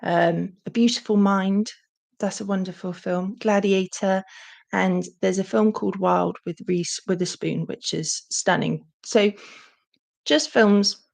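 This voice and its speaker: A young woman hosting a casual livestream Q&A, with a croaky voice and a chatty manner full of ums. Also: lowish voice. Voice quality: croaky, gravelly. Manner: chatty, warm thanks, frequent ums. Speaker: channel host.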